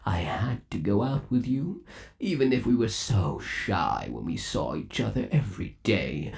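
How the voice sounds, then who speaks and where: A possessive animatronic rabbit boyfriend, acted by a man, for an ASMR roleplay. He coos with sinister devotion in a gravelly voice, drawing his words out slowly. His pitch swoops up and down theatrically as he dotes. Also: a little mellow, full character voice. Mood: doting, teasing. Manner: slow, doting, theatrical cooing.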